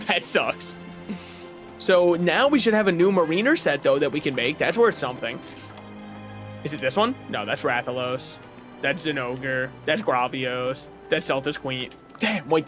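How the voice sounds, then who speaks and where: A young man streaming a game from his apartment, chatting casually in a rambling, self-mocking way with a booming voice. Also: lively intonation, moderate pace, a bit bright. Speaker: vtuber streamer. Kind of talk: casual live-stream chat while gaming. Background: faint game music.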